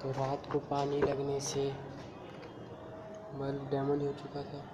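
A man's voice: mid-range voice, monotone, slow. A teenage boy gives a plain, matter-of-fact tour of an unfinished concrete house, filming on a phone held at arm's length. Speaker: vlogger. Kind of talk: casual house-tour narration. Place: bare unfinished concrete house, indoors and on the roof. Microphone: phone camera held at arm's length. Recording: echoey bare rooms, loud ambient noise.

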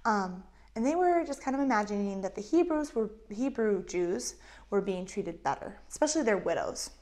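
Woman in her 30s, kids' Bible teacher, lively storyteller, medium pitch, lapel mic. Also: normal speed. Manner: animated, acts out voices of characters. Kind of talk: storytelling Bible lesson for children. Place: indoors in front of a map backdrop.

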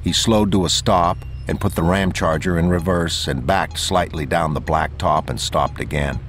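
Deep-voiced man reading an audiobook. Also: steady tone, moderate pace, a bit bright, slight background noise. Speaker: audiobook narrator. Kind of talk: scripted audiobook narration.

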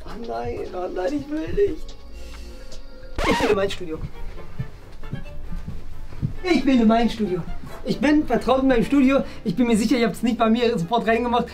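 A man's voice: extremely high pitch, expressive, normal speed, long pauses, rough recording. A young man talking casually to camera as a game contestant in a small office, deliberating aloud in a mock-dramatic way. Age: young adult. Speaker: challenge contestant addressing viewers. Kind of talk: casual playful vlog talk. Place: small indoor office studio. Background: clear background music.

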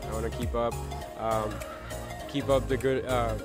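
A man's voice: mid-range voice, flat delivery, normal speed, over music. A young man, a student association president, answering interview questions outdoors, hesitant with frequent fillers. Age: young adult. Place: outdoor campus lawn.

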